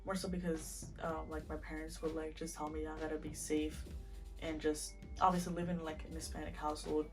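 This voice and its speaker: A woman's voice: deep voice, flat delivery, fairly quick. A twenty-one-year-old woman in a casual interview, talking loosely with lots of "like" fillers. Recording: distant mic with music bed.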